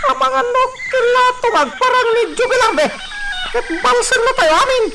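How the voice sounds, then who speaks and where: Squeaky, very high-pitched henchman. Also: expressive, normal speed, gravelly, heavy background noise, exaggerated voice. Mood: wary. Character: servile, scheming male farm henchman, eager for gold. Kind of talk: Philippine radio drama acting.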